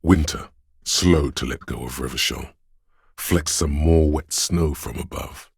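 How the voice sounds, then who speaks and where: A man voicing a brooding, all-seeing inner voice in a video game, narrating slowly and solemnly in a hushed, gravelly husky tone. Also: very deep voice, no echo, clean studio sound, exaggerated voice. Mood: grim, somber. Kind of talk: slow atmospheric scripted game narration.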